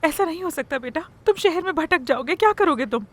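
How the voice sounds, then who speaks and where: A woman's voice: high voice, expressive, fairly quick, fairly bright tone. A woman playing an anguished mother in a dubbed drama, pleading tearfully for her son. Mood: tender, anxious. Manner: tearful, imploring.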